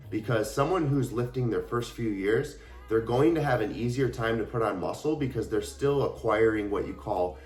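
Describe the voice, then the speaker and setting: A man's voice: lowish voice, roomy sound. A young adult man, a fitness coach, talking casually and earnestly to his viewers with a stuffy voice from a cold. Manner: earnest, explanatory, addresses viewers directly. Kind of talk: casual talk to camera.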